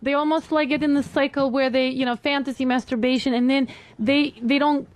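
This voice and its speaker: A woman's voice: high voice, steady tone, fairly quick, no echo. A woman with a foreign accent giving earnest dating advice as a guest on a radio talk show. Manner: earnest, explanatory, laughs easily.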